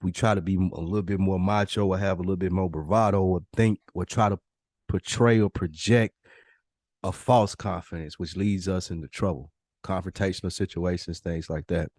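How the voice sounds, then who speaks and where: A man's voice: deep voice, steady tone, moderate pace, darkish tone, no echo, studio quality. An American man hosting a podcast in a casual, reflective way, feeling out his wording as he goes. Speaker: podcast host.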